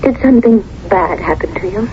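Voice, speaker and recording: A woman's voice: medium pitch, animated, a little fast, close to an everyday voice. An actress playing a smitten, candid young woman in a 1970s radio drama, romantic and assured. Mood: gently probing. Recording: dull, noisy old radio recording.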